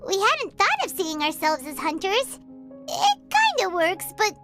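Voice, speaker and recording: A woman voicing a tiny, excitable, childlike fairy companion in a video game, squeaky and chatty. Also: a little slow, full character voice. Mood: chatty, musing. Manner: third-person, exclaiming, chatty. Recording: loud music behind the voice.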